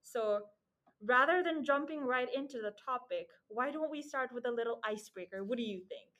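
A young woman hosts a student podcast with an upbeat, friendly manner. Her voice sits a little high for a woman. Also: lively intonation, brisk, pushed and bright. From Nepal. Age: young adult. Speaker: podcast host. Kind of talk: casual podcast chat.

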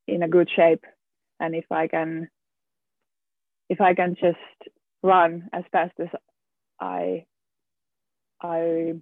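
Slow, hesitant young man on a tinny line. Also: a little high, creaky, dry recording. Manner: hesitant, many restarts and repeated words. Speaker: student guest. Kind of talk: casual round-table chat. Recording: tinny phone-like line, dry.

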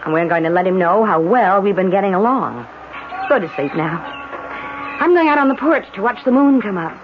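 A woman playing an anxious, protective mother in a radio drama, expressive and fretful. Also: medium pitch, a little fast, natural voice. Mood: protective, firm. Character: anxious, protective young mother on summer holiday. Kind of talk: 1970s radio drama acting. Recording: muffled vintage radio recording. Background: music.

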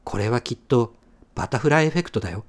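Man reading as an earnest, animated friend. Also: mid-range voice, fast talker, voice pushed down, lightly acted. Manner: earnest, explanatory. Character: earnest friend with a prophetic message.

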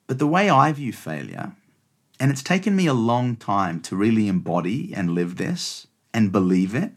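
A man in his thirties with an Australian accent, guest-hosting a podcast with an earnest, reflective monologue. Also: lowish voice. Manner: earnest, reflective, tags lines with "right?". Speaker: guest host.

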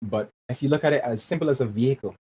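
A man's voice: medium pitch, quick pace, warm tone. A young man answers interview questions in an earnest, searching way, often doubling back on his words. His voice comes through a dull, lo-fi remote connection with a faint hiss behind it. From Barbados. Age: 20s. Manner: earnest, repeats words while searching for phrasing. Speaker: guest. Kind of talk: casual interview talk.

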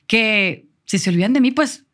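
A Venezuelan woman in her forties, a guest telling her story in a casual interview, animated and self-assured, laughing often. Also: a little high, normal speed. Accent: Venezuelan Spanish.

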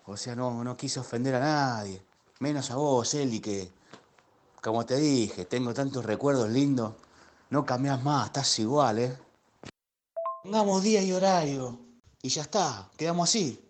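A man's voice: mid-range voice, unhurried, natural voice. A man playing a nostalgic, middle-aged Argentine former classmate in a comic radio drama, gushing and repeating his phrases. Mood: eager.

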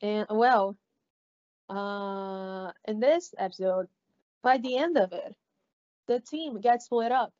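A woman with a Brazilian Portuguese accent, co-hosting a podcast in a halting, casual way, with many fillers and restarts. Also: medium pitch, a little mellow. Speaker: podcast co-host. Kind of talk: casual recap chat. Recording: slightly dull lo-fi audio.

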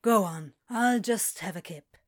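A woman's voice: mid-range voice, unhurried, close to an everyday voice. A woman playing a sly, grumbling male snake in an audio drama, drawling and stretching out the vowels. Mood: casual, evasive. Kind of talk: acted fiction podcast dialogue.

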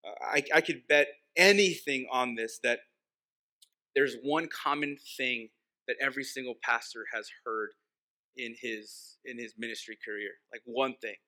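Bright, forceful male preacher from Texas, native Spanish speaker. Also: mid-range voice. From Texas, United States. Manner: repeats key phrases for emphasis, slows for weight. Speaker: pastor preaching. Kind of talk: sermon, conversational and informal.

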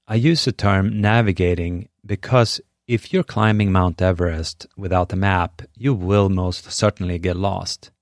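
Deep-voiced Swedish-accented man, dry sound. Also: fairly even intonation, dry recording. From Sweden. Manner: earnest, measured and encouraging. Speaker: podcast host. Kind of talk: scripted podcast monologue.

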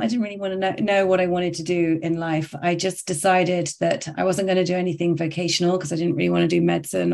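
A woman in her fifties from the UK, a journalist guest on a podcast. Her voice sits low with a noticeable vocal fry. She tells her story in a reflective, chatty way. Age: 50s. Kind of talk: conversational interview.

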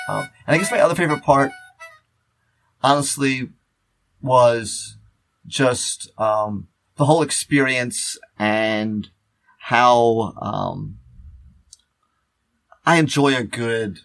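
A man chatting casually as a podcast host, in a halting, rambling way full of fillers. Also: medium pitch, vocal fry, a bit bright, faint music behind, rough recording. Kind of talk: casual chat.

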